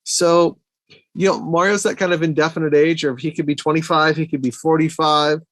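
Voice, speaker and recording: A man's voice: fairly high pitch, fairly even intonation, fairly quick, a bit bright, dry recording. A man in a casual film discussion on a podcast, talking like an enthusiastic fan and thinking aloud as he goes. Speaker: podcast guest or co-host, movie fan.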